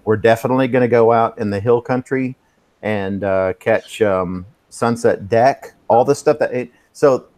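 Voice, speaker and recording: A man's voice: mid-range voice, normal speed, darkish tone. 50s guy rambling on a live stream, swears a lot, keeps losing track. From Texas. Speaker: host. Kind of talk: casual live stream chat.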